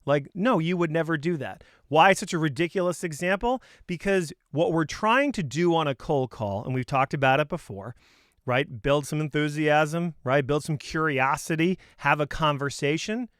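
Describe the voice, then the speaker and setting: Clear-voiced, higher-pitched man giving punchy sales advice. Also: moderate pace, a bit bright, no room sound, studio quality. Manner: emphatic, conversational, punchy rhetorical questions. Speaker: sales coach and host. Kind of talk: informal opinionated sales advice talk to camera.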